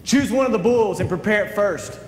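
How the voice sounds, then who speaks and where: A man's voice: sits high, lively intonation, fairly quick. A man playing a bold, defiant prophet in a children's Bible stage play, declaiming with emphasis. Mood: solemn, challenging. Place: auditorium stage. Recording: echoey auditorium, voice at a distance, faint noise.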